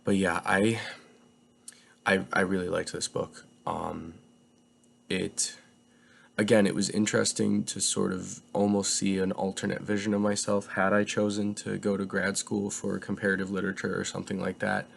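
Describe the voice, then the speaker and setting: A man's voice: low-pitched, little pitch movement, moderate pace, vocal fry. A young man casually reviewing a book, getting over a cold, talking in a hesitant, rambling way full of filler words.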